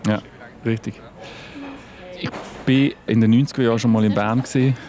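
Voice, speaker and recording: A man's voice: mid-range voice, steady tone, moderate pace. A man in casual, unscripted conversation. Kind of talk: casual chat. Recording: other voices in the background.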